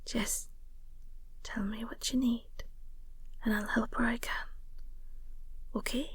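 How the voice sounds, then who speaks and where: Woman acting a breathy, teasing young girlfriend, very unhurried. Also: on the high side, little pitch movement, a bit bright, no echo, heavily acted. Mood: teasing, sincere.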